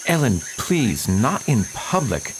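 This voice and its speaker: A man's voice: mid-range voice, very animated, slow, noisy background. A man playing a prim, disapproving reverend. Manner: formal, disapproving. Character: stern, prim middle-aged male missionary reverend. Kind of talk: radio play acting.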